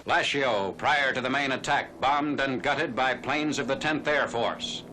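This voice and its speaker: A man's voice: mid-range voice, unhurried. Noisy 1940s newsreel narrator, male. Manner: formal, factual announcer delivery.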